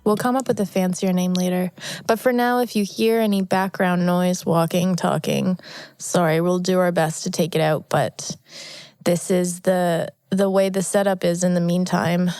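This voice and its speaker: A woman's voice: on the low side, smooth, dry recording. Sniffly, chatty woman podcast co-host. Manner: chatty, animated storytelling with lots of "like". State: sniffly from allergies. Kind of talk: casual chat. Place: small podcast booth in a new office. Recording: dry, sound-dampened booth.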